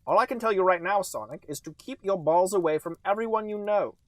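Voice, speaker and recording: A man voicing a calm but grave doctor in a comedic story, speaking in stiff, formal, deliberate phrases. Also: high voice, dry recording. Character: calm but grave male doctor breaking bad news.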